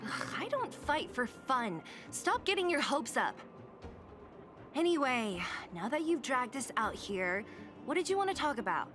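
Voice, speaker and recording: Weary woman fighter, exasperated, slow-spoken, slightly high, music behind. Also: big pitch swings, fairly bright tone, exaggerated voice. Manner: exasperated, sighing, dry. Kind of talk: video game voice acting. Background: game music.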